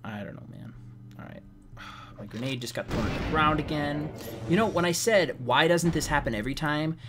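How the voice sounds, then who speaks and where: A slightly hoarse man giving casual, rambling gameplay commentary as a let's play host, sounding wry and exasperated. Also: fairly high pitch, normal speed, noisy background. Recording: game music behind the voice. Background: video game music.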